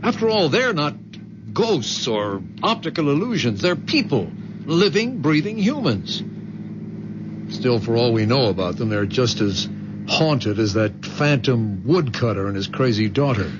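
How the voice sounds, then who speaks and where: A man's voice: mid-range voice, sing-song, unhurried. An actor playing an exasperated, wary, sardonic man in a radio drama, heard on a muffled and noisy old recording. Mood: wary, brooding. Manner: sardonic, insistent. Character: exasperated, wary, skeptical husband or boyfriend. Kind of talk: vintage-style radio drama acting.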